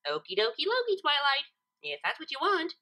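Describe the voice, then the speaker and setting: A woman's voice: high voice, huge pitch swings, fairly quick, sharp tone, voice pushed up, exaggerated voice. A woman voicing a bubbly, innocent, silly young female cartoon pony in a perky, naive manner, in a fan fiction reading. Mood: cheerful. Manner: perky, playful, cheerfully naive.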